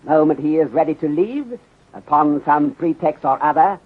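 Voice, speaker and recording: An actor playing a shrewd, courteous Chinese detective inspector in a 1930s radio serial, speaking formally and deliberately in clipped phrases that drop small words. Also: male voice, a little high, animated. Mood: polite, instructing. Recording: muffled, husky-sounding 1930s radio recording.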